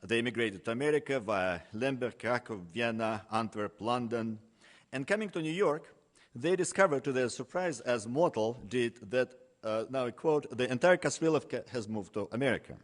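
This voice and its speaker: A male professor delivers a scholarly lecture in a mid-pitched voice. He moves between reading and explaining. His delivery is halting, broken by frequent hesitations. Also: expressive. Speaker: professor giving a conference lecture. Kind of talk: academic lecture, partly read from notes.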